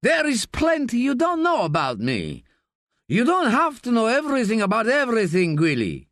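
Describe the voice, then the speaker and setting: A man voicing a nervous, defensive grown son who speaks in a tense, raised pitch. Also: huge pitch swings, normal speed, a bit bright, no room sound, clean studio sound, full character voice.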